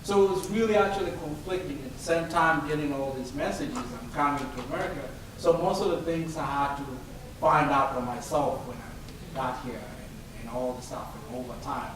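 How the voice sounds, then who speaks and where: Man from Tanzania in an echoey room. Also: normal speed. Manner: thoughtful, rephrases as he goes. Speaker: professor. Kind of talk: sharing a personal story. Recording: echoey room, distant mic, rumble.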